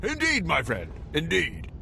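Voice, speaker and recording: A man's voice: fairly high pitch, wildly swooping pitch, measured pace, gravelly, bright, forceful tone, a little hiss or hum, exaggerated voice. A man playing a genial, self-satisfied political associate in a video game. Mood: smug, congratulatory. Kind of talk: scripted video game acting.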